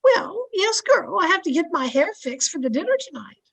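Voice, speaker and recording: A woman's voice: very high-pitched, big pitch swings, moderate pace, gravelly, exaggerated voice. A woman playing a supportive, chirpy housewife best friend in an old-time radio sitcom, coaxing and wry in a girlish way. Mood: encouraging. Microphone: home microphone over video call.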